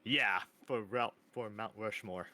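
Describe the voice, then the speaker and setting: A man's voice: on the low side, measured pace, pushed and bright, no echo. A man in his thirties, a podcast guest chatting casually, hesitant and laughing often. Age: 30s. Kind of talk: casual chat.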